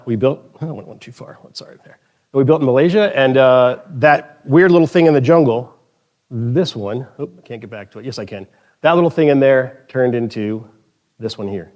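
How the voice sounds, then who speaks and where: A man from the Philadelphia area speaks at a conference in a voice of ordinary pitch. He tells loose, chatty stories, throws in joking asides to the audience and often stops to correct himself mid-sentence. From Philadelphia area, United States. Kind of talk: conference talk with anecdotes.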